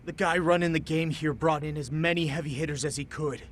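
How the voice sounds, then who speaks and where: An actor playing a hesitant, impressed informant in a video game, talking casually. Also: male voice, on the high side, fairly even intonation, a little fast, slight background noise, close to an everyday voice. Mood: awed. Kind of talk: naturalistic video game cutscene acting.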